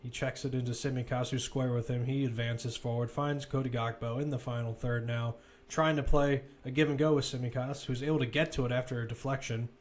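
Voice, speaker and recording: A man's voice: mid-range voice, steady tone, a little fast, few pauses. A man giving live football play-by-play commentary, describing the action as it unfolds in short, clipped phrases. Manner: running present-tense description in clipped phrases.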